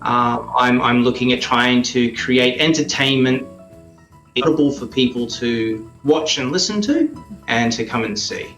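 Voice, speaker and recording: Male interview guest, Australian accent, casual and thoughtful, mid-pitched, roomy sound. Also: a little slow, a bit bright, faint music behind. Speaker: guest, radio play creator and performer. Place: indoors in a house living room.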